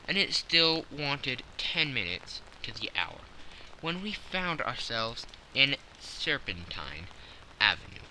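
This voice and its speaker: A male narrator reads literary prose for an audiobook in a very bright, forceful voice. His pitch moves in lively sweeps while the pace stays slow, with faint rain noise behind him. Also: rough recording.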